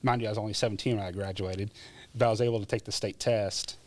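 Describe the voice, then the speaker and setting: A man, a former EMT, telling his life story in a relaxed, chatty way as a podcast guest, with frequent fillers and laughs. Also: fairly low pitch, fast. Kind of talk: casual interview storytelling.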